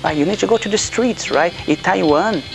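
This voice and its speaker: A man's voice: sits high, brisk, gravelly, rough recording. A man from Brazil in his thirties, a doctoral student speaking earnestly in an interview, with frequent hesitations. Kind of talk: interview testimonial. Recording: music bed behind voice.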